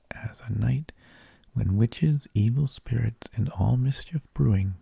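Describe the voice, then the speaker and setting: A man whispering an ASMR narration in a hushed, breathy, gravelly voice, unhurried, with drawn-out phrases and pauses, close to the microphone. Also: medium pitch, no room sound. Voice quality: breathy, mostly unvoiced whisper with gravelly creak. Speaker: ASMR host.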